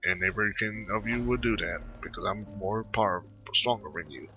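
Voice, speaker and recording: A man's voice: lowish voice, fairly quick, sharp tone. A man giving casual gameplay commentary, bluntly talking back to the game's characters. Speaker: gaming video host, player. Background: faint game music.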